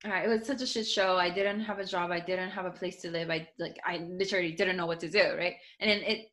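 A woman's voice: fairly low pitch, steady tone, fast, fairly bright tone. Japanese-accented woman, chatty and loose. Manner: talks in loose restarts with many "like" fillers. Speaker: guest, author. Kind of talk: casual conversational interview.

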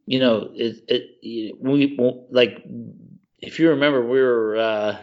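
A man's voice: medium pitch, fairly even intonation, measured pace, muffled recording. A man co-hosting a casual podcast, talking in an effusive, slangy way and repeating himself for emphasis. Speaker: podcast co-host. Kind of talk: casual chat about video games and movies.

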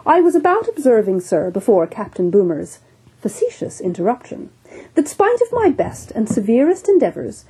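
A woman's voice: on the high side, mellow, slightly rough, exaggerated voice, poor audio. A woman voicing a sober, formal English ship's surgeon in a storybook reading. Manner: formal, unruffled, precise.

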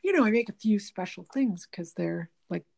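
A woman with a gravelly, slightly nasal voice talks in a loose, rambling way, full of restarts and "you know"s. Her pitch sits in the middle of the range. It is casual, unscripted conversation. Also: animated, moderate pace, a little mellow. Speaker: guest talking about diet and the body.

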